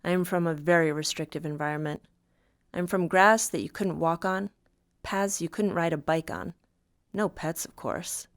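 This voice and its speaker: Older New woman, low dry voice. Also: steady tone, moderate pace, no room sound. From the Bronx, New York.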